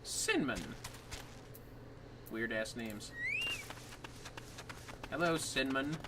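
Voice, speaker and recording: A man's voice: medium pitch, animated, drawn-out words, distant mic, background noise. A man chatting casually on a gaming livestream, guiding his partner as they play. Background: game music.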